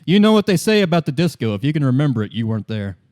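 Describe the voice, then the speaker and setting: A man's voice: on the high side, big pitch swings, rapid-fire, a little mellow, no room sound, studio quality. A man voicing an elegant, educated older woman in a tabletop game, breezy and familiar in manner. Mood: wry, familiar. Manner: breezy, familiar, calls listener honey and baby. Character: elegant, austere, educated older woman, obliging company representative. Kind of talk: in-character tabletop roleplay.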